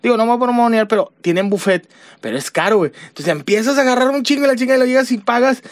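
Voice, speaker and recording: A man, trading quick teasing banter as a radio podcast co-host. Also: very high voice, no room sound. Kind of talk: casual comedic chat. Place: radio studio. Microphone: studio mic.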